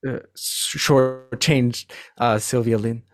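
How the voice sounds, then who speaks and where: A man speaking as a podcast guest in casual literary discussion, halting and often repeating words. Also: mid-range voice, steady tone.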